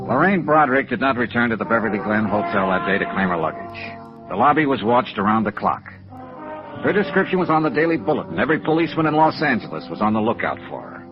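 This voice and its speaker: An American actor playing a world-weary insurance investigator in 1950s radio noir, clipped, matter-of-fact and pressing. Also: male voice, fairly low pitch, very animated, fast talker, over music. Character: world-weary, persistent male insurance investigator narrating his case. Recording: dull vintage radio recording.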